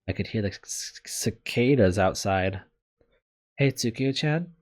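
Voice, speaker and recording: A man's voice: low voice, steady tone, moderate pace. A man narrating a visual novel as a let's player, sometimes stumbling and rereading words. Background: music.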